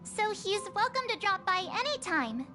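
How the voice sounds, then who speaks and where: Very high-pitched sweet anime girl. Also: big pitch swings, moderate pace. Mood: reassuring. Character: sweet, earnest young girl teammate. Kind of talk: anime game voice acting. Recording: music behind the voice.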